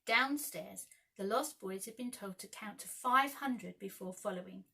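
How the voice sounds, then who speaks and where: A woman narrating a children's story expressively, with dramatic, measured phrasing. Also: mid-range voice, vocal fry, sharp tone, slightly rough. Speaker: storyteller, school staff reader.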